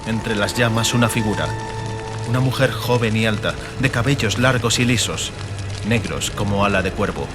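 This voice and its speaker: A man narrating a fantasy audiobook dramatically, in measured, emphatic phrases. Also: fairly low pitch. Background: music and atmospheric sound effects.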